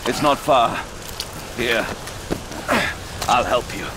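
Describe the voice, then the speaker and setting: An actor playing a tough, urgent police detective who speaks tersely in an audio drama. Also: male voice, medium pitch, takes it slowly, background noise, natural voice. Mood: concerned. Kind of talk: full-cast audio drama. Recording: loud music under the voice.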